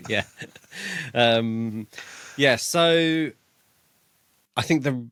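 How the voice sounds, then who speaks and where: A man's voice: mid-range voice, drawn-out words, fairly bright tone, clear, resonant tone, dry recording. A man co-hosting a book podcast in a casual, self-deprecating and slightly hesitant way. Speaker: podcast co-host.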